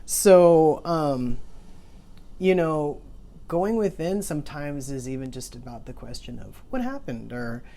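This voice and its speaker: Low-pitched woman presenting an oracle card reading. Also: expressive, measured pace, mellow. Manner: informal, musing, conversational. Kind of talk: casual rambling card reading, partly read aloud from a guidebook.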